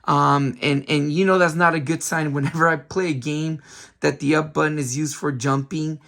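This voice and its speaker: A man with a hoarse voice, still recovering from a cold, hosting a casual game review with lots of ums and restarts. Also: a little high, steady tone, normal speed, fairly bright tone. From San Francisco Bay Area. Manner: chatty, many ums, restarts himself. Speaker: retro game collector channel host.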